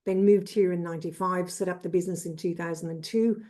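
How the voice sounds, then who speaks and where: Irish female consultant, 50s; brisk, businesslike webinar delivery, lowish warm voice. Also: steady tone. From Ireland. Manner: brisk and businesslike, lists with "et cetera". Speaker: trade consultant presenting. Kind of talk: webinar presentation. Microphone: video call.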